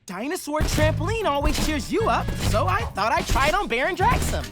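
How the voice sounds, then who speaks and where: A man voicing a sunny, excitable teenage turtle in a cartoon, upbeat and coaxing, with theatrical flourishes. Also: very high voice, a little fast, over loud music. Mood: upbeat, persuasive. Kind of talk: animated cartoon voice acting.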